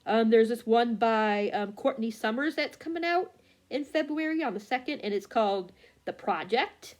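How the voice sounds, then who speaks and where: A woman book vlogger chatting casually and haltingly, often losing her thread and correcting herself. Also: a little high, expressive, a little mellow. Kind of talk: casual rambling chat about books.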